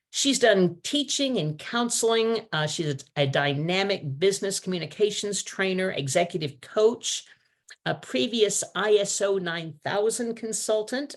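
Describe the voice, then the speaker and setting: A woman hosting an online meeting, introducing a guest speaker in a chatty, enthusiastic way. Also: medium pitch. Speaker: host. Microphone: video call.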